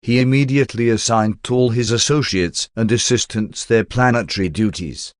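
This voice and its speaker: A man reading a spiritual text as scripted narration in a measured, formal and solemn manner. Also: mid-range voice, no echo, studio quality. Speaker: audiobook narrator.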